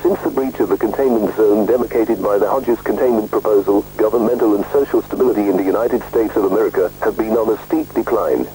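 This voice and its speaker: A man's voice: fairly low pitch, fast, long pauses, close to an everyday voice. A man playing a formal bulletin announcer, reading a scripted crisis report impersonally. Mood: serious, formal. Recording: noisy radio-style broadcast.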